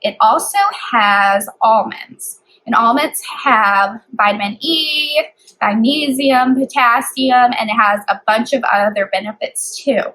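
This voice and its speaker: Slow, higher-voiced woman hosting children's storytime. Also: bright, forceful tone, poor audio. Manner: simple, explanatory phrasing for young children. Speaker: children's librarian and storytime host. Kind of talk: storybook read-aloud and explaining to children.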